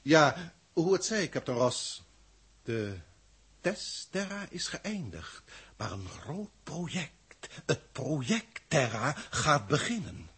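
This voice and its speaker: An actor in a 1960s science-fiction radio drama playing a formal, grandiloquent alien proctor who speaks deliberately and pompously. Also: male voice, a little high, big pitch swings, fairly bright tone, breathy edge, exaggerated voice. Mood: solemn. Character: authoritative, grandiloquent alien proctor from a galactic federation.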